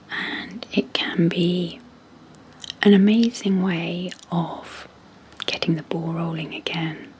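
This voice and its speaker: A woman speaking in a breathy half-whisper as an ASMR host, slowly and gently, with long pauses, introducing sleep hypnosis. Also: fairly low pitch, slight background noise, poor audio.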